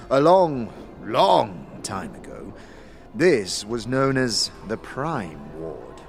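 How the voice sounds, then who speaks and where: A man's voice: lowish voice, big pitch swings, very slow, creaky, soft background music, full character voice. An actor playing a courteous, shady male street mystic in a fantasy audio drama, with a formal and theatrical manner. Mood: storytelling.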